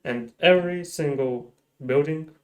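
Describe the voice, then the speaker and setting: A man's voice: on the high side, a little slow. A man whose first language is Ukrainian, earnestly explaining in simple, broken English as an interview guest in an apartment. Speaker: engineer guest. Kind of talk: casual interview talk.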